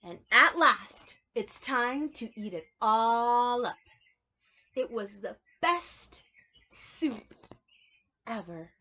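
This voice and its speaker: A woman with a fairly high voice speaks as a preschool teacher sharing a picture book. She explains things in simple, instructive phrases and puts questions to the young listeners. The recording is somewhat dull and lo-fi. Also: animated, extremely slow, pauses often, bright, forceful tone. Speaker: preschool teacher reading aloud.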